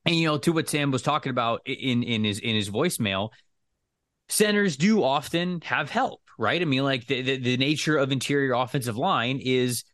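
A man's voice: medium pitch, moderate pace, a bit bright, no room sound, studio quality. A man co-hosting a sports podcast, talking through football analysis casually and explaining his points with careful clarifications.

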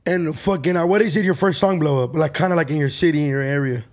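A man's voice: on the high side, fast talker, darkish tone. A man of twenty-two interviewing a guest, asking slangy questions and joking around. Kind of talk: casual interview chat.

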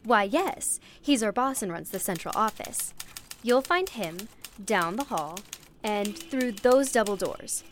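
Radio play: cheerful female receptionist, acted by a woman, animated delivery, faint noise behind. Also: mid-range voice, a little slow, natural voice. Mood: friendly, encouraging. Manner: bubbly, gracious. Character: cheerful, welcoming female front-desk receptionist. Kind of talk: old-time radio play acting.

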